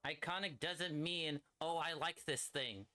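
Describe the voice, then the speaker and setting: A man's voice: fairly high pitch, measured pace, sharp tone, slight background noise. A man in casual gaming banter, opinionated and slangy, swearing freely as he makes his point. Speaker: streamer.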